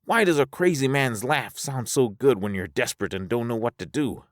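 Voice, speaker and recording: A man voicing a weary, philosophical spaceman in a science fiction audiobook, folksy and excitable as he reasons aloud. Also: mid-range voice, moderate pace, no echo, clean studio sound, voice pushed up, lightly acted. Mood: weary musing. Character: high-voiced drawling spaceman, weary, resigned, philosophical castaway.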